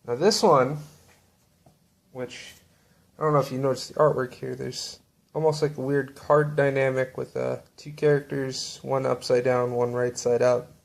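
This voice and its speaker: A man showing off books from his shelf in a relaxed, rambling way with lots of fillers. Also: mid-range voice, normal speed, creaky. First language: English. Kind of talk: casual show-and-tell.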